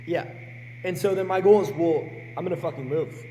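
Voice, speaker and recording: A man in his late teens with a hoarse, sick voice, talking bluntly and energetically as a podcast guest. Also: on the high side, a little fast, long reverb tail, a little hiss or hum, rough recording. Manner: energetic and blunt, swears freely. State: hoarse, sick with a sore throat. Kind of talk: casual podcast chat.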